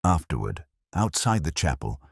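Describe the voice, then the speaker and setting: Guy doing a really deep melancholy british inspector telling his case, measured, literary, close dry sound. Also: big pitch swings, slightly rough, dry recording, heavily acted. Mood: wry, sombre. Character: world-weary, melancholy British male police inspector, middle-aged or older. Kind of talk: scripted first-person detective audio drama narration.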